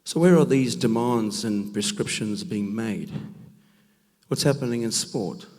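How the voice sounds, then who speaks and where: A man with a rough, gravelly voice from a cold, giving a preaching talk at a men's conference, emphatic and full of rhetorical questions. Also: mid-range voice, a little slow, darkish tone. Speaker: conference speaker. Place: church hall. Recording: long reverb of a large hall.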